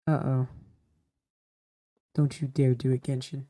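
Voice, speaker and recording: Low mellow woman rambling thru a game, lots of ums, chill streamer vibe. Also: level tone, unhurried. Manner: rambling, filler-heavy talking to herself. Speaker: small streamer. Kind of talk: casual gameplay commentary.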